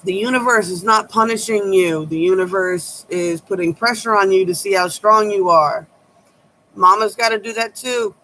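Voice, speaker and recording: Low-voiced tarot lady talking straight to her viewers, blunt and a bit sharp, bright punchy tone. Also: normal speed, a little hiss or hum, rough recording. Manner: blunt, frank, sharp-tongued. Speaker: tarot reader. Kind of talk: casual advice chat to viewers.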